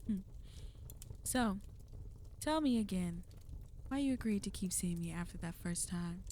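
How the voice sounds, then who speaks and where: A woman's voice: mid-range voice, animated, measured pace, darkish tone, slight background noise, close to an everyday voice. A woman playing a flirty, possessive villain in an ASMR roleplay, speaking intimately to the listener in a teasing, commanding way. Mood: flirty, coaxing.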